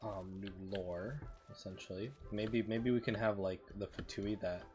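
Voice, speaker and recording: A man's voice: on the low side, measured pace, background noise. A man streaming a video game with informal commentary, thinking aloud as he plays. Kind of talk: casual gameplay commentary.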